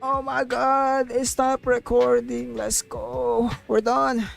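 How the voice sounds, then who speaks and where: A woman's voice: a little high, flat delivery, takes it slowly, vocal fry, soft background music. A young woman livestreamer giving casual, rambling game commentary, repeating herself and filling sentences with "like" and "you know.". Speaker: VTuber livestreamer.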